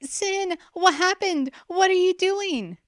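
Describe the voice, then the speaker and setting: Frantic young sister, urgent and high-pitched, as a woman reads her. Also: takes it slowly, no room sound, studio quality. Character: frantic worried teenage sister.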